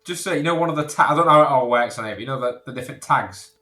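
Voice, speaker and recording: A man with a bright, forward voice banters casually on a comedy podcast. He is sweary and conversational, recorded in a noticeably echoey room. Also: medium pitch, very fast. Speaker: podcast panellist.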